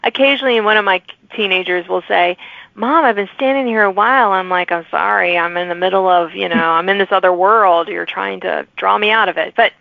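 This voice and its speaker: A woman's voice: mid-range voice, fairly quick, creaky, no echo. A middle-aged woman hosting a conversational interview, speaking in an encouraging, affirming way. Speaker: podcast host and writer. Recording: tinny band-limited phone-like line.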